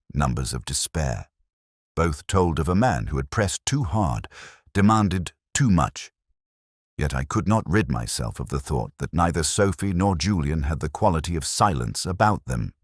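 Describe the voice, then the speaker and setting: A man playing a melancholy police inspector, narrating his case in measured, literary, introspective prose for an audio drama. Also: deep voice, dry recording, studio quality. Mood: brooding, reflective. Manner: measured, literary, brooding delivery. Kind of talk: scripted first-person detective audio drama narration.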